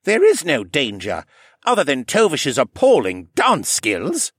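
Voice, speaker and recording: A man voicing a gruff male archer companion who growls his wry remarks. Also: mid-range voice, wildly swooping pitch, unhurried, no echo, studio quality, pitched above the performer's own voice, heavily acted.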